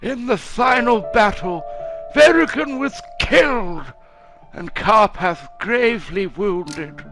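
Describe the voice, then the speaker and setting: A very high-voiced, solemn fantasy storyteller, acted out by a man. The delivery is slow and grave, declaiming old legends with dramatic swoops, over clearly heard music. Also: animated, a bit bright, heavily acted. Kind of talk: scripted fantasy story narration.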